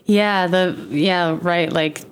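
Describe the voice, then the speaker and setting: Low-voiced woman, hesitant and thoughtful. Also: fairly even intonation, slow pace, clean tone. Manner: thoughtful, hesitant, laughs easily. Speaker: guest. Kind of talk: casual chat.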